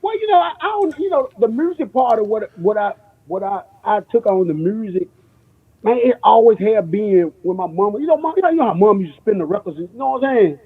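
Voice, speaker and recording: A man's voice: high-pitched, expressive, brisk, breathy edge. A man from Memphis, telling stories as a guest in casual, slangy chat, repeating himself for emphasis. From born in New York, grew up in Memphis. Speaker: guest, music producer. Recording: dull lo-fi radio-like sound.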